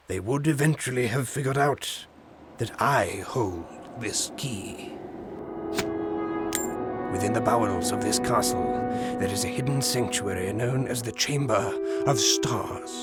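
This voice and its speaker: A male actor plays a noble servant of the crown in a fantasy video game. He is solemn and formal, declaiming gravely in a mid-pitched voice. Loud music runs behind him. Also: natural voice. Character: solemn noble royal survivor entrusting a holy quest.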